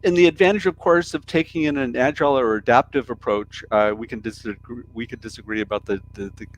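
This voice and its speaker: A man's voice: medium pitch, fast, slight background noise, poor audio. A man from the United States with an American accent, co-hosting a live stream discussion, talking casually and often repeating words as he thinks. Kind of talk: casual discussion about business change.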